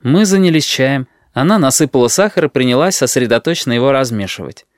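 Casting: male first-person audiobook reader, mid-pitched, even and measured, very dry studio sound. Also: no echo. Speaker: audiobook narrator.

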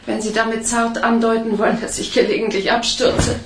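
A woman's voice: a little high, little pitch movement, a little fast, echoey room, noisy background, close to an everyday voice. An actress playing a dry, sardonic female police detective in a radio play. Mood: wry, irritated.

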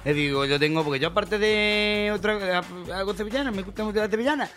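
A man's voice: high-pitched, a little fast, a bit bright, faint music behind. A man playing a cocky sevillanas singer from Cádiz, speaking as a contestant on a radio talent show. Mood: boastful. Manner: cocky, rambling, chatty. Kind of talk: comedy sketch.

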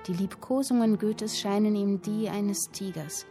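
An actress playing a woman who tells a literary tale in a radio play, with measured, dramatic, deliberate phrasing. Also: mid-range voice, mellow, soft background music, natural voice. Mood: measured, wary. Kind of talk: literary radio play storytelling in present tense.

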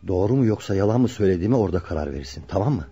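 A loyal, earnest young man in a radio play, pressing his point insistently. His voice is low, dark and husky, with faint music behind. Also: expressive, racing, highs cut off. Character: loyal, earnest young male friend, insistent truth-teller. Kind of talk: Turkish radio drama acting.

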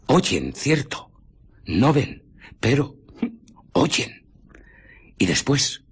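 A haunted invisible scientist on the run in a radio drama. His voice is deep and very breathy, rough at the edges. He recounts his ordeal bitterly, with deliberate, theatrical pauses. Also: exaggerated voice. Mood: weary, fearful. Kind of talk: dramatic radio play narration.

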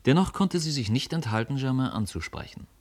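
A man's voice: medium pitch, animated, brisk, darkish tone. A man narrating a literary radio play from a script.

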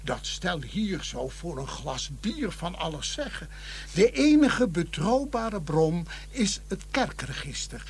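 An actor playing a fussy, scatterbrained village vicar in a Dutch radio play, hurried and dithering, often repeating himself. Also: male voice, high voice, big pitch swings, gravelly, husky, exaggerated voice. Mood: flustered, firm.

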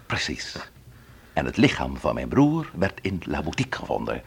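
Composed police inspector, husky and animated. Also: male voice, very deep voice, full character voice. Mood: probing, firm. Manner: measured, courteous, matter-of-fact questioning. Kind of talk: radio play acting.

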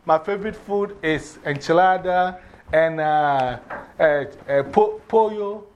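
A man's voice: fairly high pitch, slow, clean tone. A man with a Ghanaian accent giving informal classroom instruction, directing people and repeating his instructions. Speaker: teacher of a drumming and English class.

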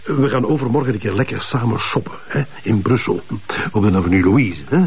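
An actor playing a pompous, evasive police chief with a Flemish accent and a bossy manner. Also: male voice, lowish voice, lively intonation, fairly quick, slightly rough, exaggerated voice. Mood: coaxing, flustered. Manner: bossy, defensive, interjecting tags. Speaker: actor in a police drama series.

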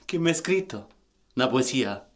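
A man's voice: mid-range voice, animated, unhurried, natural voice. An actor playing a shy, earnest man with a Roman accent in a radio play, hesitant and repeating himself. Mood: flustered.

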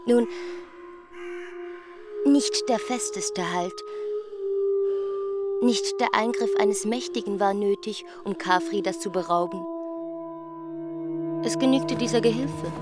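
A woman narrates a literary radio play in a measured, grave manner. She speaks very slowly at a middle pitch, and loud music runs beneath her voice.

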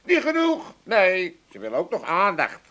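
A man's voice: high voice, huge pitch swings, normal speed, a bit bright, full character voice. An actor playing a mocking, gluttonous Amsterdam father in a radio play, teasing and sneering.

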